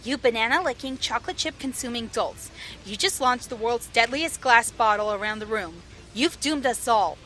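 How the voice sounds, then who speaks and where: A woman playing a sharp-tongued young woman who scolds her friends with sarcasm. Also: on the high side, lively intonation, normal speed, faint music behind. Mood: snippy.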